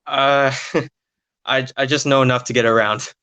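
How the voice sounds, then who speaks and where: A man's voice: medium pitch, fairly even intonation, a little slow, sharp tone, clear, resonant tone, dry recording, highs cut off. A nineteen-year-old man answering interview questions casually and hesitantly, with lots of filler sounds. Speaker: podcast guest, young footballer.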